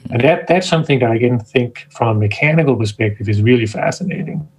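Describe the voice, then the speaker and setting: A man's voice: mid-range voice, fast talker, a little mellow, husky. A man from Denmark, a scientist, talking thoughtfully as a guest on a video-call interview, often restarting his sentences. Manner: thoughtful, searching for words, frequent restarts. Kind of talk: casual interview talk.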